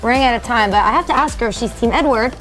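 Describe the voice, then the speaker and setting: A woman hosting a TV talk segment, speaking in quick, upbeat host patter. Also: fairly high pitch, fairly bright tone, faint music behind, low-quality recording. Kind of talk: casual interview chat.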